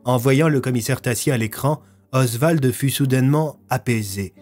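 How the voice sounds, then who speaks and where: A man's voice: mid-range voice, normal speed, darkish tone, faint music behind. A man reading scripted story narration as a storyteller.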